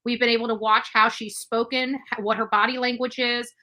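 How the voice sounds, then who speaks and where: Bright-voiced woman true crime commentator. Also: on the high side, flat delivery, brisk. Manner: chatty, with fillers and restarts. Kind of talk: casual commentary.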